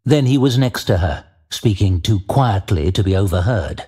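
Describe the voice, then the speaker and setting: A man reading dramatic scripted fantasy narration as an audiobook narrator, measured and deliberate. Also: fairly low pitch, animated, dark tone, husky.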